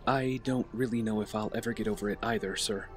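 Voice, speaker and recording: An actor playing an earnest, guarded young vigilante in an audio drama, speaking in a reserved, terse way. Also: male voice, on the low side, steady tone, fast, faint music behind, close to an everyday voice. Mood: guarded, courteous. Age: young adult.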